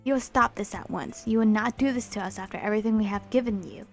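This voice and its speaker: A woman's voice: medium pitch, quick pace, faint music behind, lightly acted. A cold, commanding middle-aged mother, put on by a woman reading a visual novel aloud. She lays down orders in a rigid, unbending way. Her fury stays tightly held under the surface. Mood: stern.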